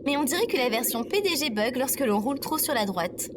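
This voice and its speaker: A woman's voice: sits high, big pitch swings, fairly quick. A woman playing a polite female AI assistant robot with dry, teasing sarcasm in a sci-fi comedy audio drama. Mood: matter-of-fact. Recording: heavy background noise.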